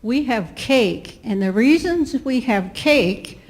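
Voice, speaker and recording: A woman's voice: a little high, expressive, takes it slowly. A woman in a congregation announcing celebrations and inviting everyone to a coffee gathering. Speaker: congregation member. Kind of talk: announcement at a church service.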